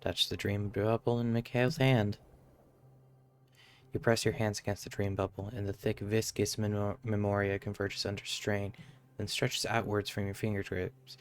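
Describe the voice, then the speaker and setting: A man's voice: on the low side, little pitch movement, moderate pace. A man streaming a game, giving offhand commentary and reading text aloud, sometimes stumbling over it.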